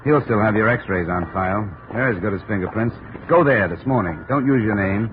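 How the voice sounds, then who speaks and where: An actor in a 1950s radio drama playing a tough, businesslike investigator and narrator, clipped and matter-of-fact. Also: male voice, on the low side, a little fast, lightly acted. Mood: brisk, commanding. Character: tough, businesslike, terse male insurance investigator. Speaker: lead character and narrator. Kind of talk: 1950s radio detective acting. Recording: noisy, narrow old radio recording.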